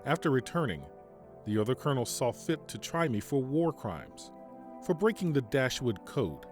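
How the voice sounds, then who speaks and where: A man playing a stern, principled army general in an audio drama, speaking formally and deliberately. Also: mid-range voice, big pitch swings, soft background music, lightly acted. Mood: grave, resolute. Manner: formal, measured, deliberate speech. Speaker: military general giving a radio interview.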